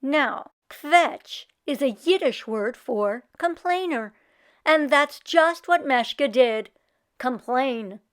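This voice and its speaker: A woman narrating a children's storybook expressively, with deliberate dramatic emphasis. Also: high voice, animated, clear, resonant tone. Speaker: read-aloud storyteller for children.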